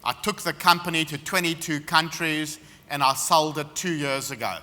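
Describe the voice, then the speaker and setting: A man's voice: fairly high pitch, steady tone, moderate pace, pushed and bright. A man from South Africa with a South African accent, giving a confident, anecdotal seminar talk as guest speaker in an expo hall. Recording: reverberant hall.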